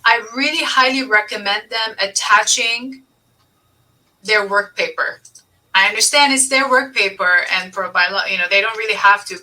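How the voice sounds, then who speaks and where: A woman's voice: mid-range voice, bright, forceful tone, recorded from a distance, poor audio. A woman hosting a business advice podcast, explaining things emphatically and repeating key points. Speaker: podcast host and accountant.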